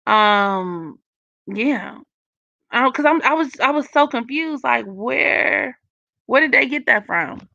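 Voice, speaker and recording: A young woman with a raspy voice hosting a casual live stream, reading comments and giving blunt, profane opinions with frequent laughs. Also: high-pitched, lively intonation, takes it slowly, bright, forceful tone, no room sound, lo-fi sound.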